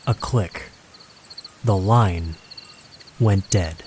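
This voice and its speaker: A man narrating a scripted horror story in a suspenseful, deliberate manner with dramatic pauses. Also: low-pitched, darkish tone, a little hiss or hum.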